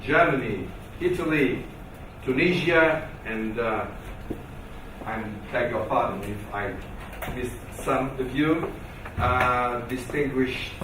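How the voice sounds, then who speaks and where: A man's voice: medium pitch, background noise. Man with portuguese accent, hesitant formal speech, full of fillers, very echoey hall, heard from some distance. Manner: hesitant, many fillers and restarts. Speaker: conference organiser giving opening remarks. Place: large conference hall.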